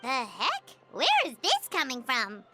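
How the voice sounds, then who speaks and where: A woman voicing a tiny childlike fairy companion in a video game, squeaky, chirpy, blunt and skeptical. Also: huge pitch swings, unhurried, bright, forceful tone, faint music behind, full character voice. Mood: puzzled. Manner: exclaiming, blunt, refers to self in third person. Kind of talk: video game cutscene acting.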